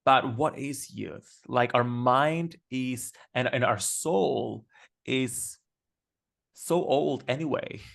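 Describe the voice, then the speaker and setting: A man's voice: medium pitch, gravelly, fairly bright tone. Enthusiastic man. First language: Greek. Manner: enthusiastic, storytelling, quick with fillers. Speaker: guest. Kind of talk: casual podcast conversation.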